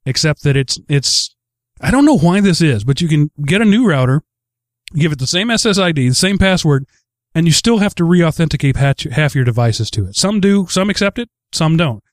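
A man hosting a casual chat show, talking in a rambling, halting way and often repeating words as he goes. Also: fairly high pitch, expressive, no room sound. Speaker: host of a tech chat show.

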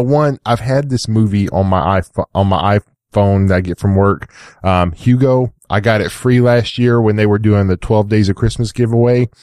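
Slightly hoarse low-voiced man, rambling casually. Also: darkish tone, no echo, studio quality. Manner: rambling, frequent "like" and "you know". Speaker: co-host of a tech chat show. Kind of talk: casual conversational storytelling.

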